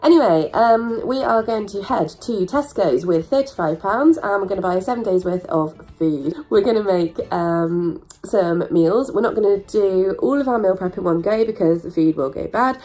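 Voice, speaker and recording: A young woman, hoarse from a sore throat and stuffed up, chatting casually to camera as a vlogger with plenty of ums and rambling asides. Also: medium pitch, animated, moderate pace, hardly any pauses, warm tone, poor audio. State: croaky sore throat, congested.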